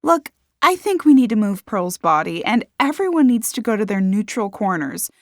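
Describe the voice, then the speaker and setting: A woman's voice: mid-range voice, sing-song, normal speed, no room sound, studio quality, pitched above the performer's own voice. A woman voicing a practical but defensive woman in an audiobook mystery, speaking emphatically. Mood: practical, firm. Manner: emphatic, exclaiming. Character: practical, defensive woman protesting her innocence.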